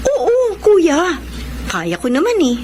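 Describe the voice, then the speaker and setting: A woman's voice: very high voice, wildly swooping pitch, slow, a little mellow, slight background noise, heavily acted. A woman playing a resilient, cheerful Filipino widowed mother in a radio drama. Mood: upbeat, resolute.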